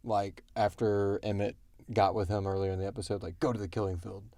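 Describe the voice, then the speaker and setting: A man's voice: fairly low pitch, level tone, a little fast, mellow, no echo. A man hosting a casual question-and-answer podcast, talking in an informal, joking, slangy way. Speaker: podcast host and show creator. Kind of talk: casual Q&A discussion.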